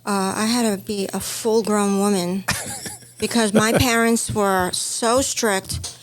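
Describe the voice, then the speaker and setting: A woman's voice: mid-range voice, steady tone, takes it slowly, rough recording. Hong Kong-born woman telling her story. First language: Chinese. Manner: storytelling, warm reminiscing. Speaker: podcast co-host, stylist. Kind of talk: casual chat.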